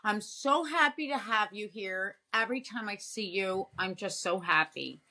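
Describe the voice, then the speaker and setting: A woman's voice: medium pitch, normal speed, bright, forceful tone. A woman co-hosting over a video call who talks in an effusive, storytelling way about grooming. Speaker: co-host, dog groomer. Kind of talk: casual chat.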